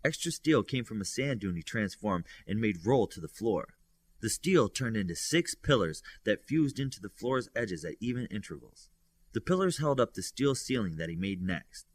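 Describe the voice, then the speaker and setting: A man's voice: lowish voice, very animated, moderate pace, dry recording, clean studio sound. A man reading fiction as an audiobook narrator, in a scripted storytelling style. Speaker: audiobook reader. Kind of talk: scripted fiction narration with lifted character dialogue.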